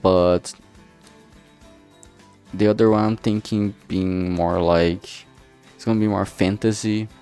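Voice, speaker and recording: A man's voice: on the low side, fairly even intonation, slow, long pauses, mellow, smooth, soft background music. A man chatting casually on a work stream, thinking aloud hesitantly and often trailing off. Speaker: streamer making a visual novel.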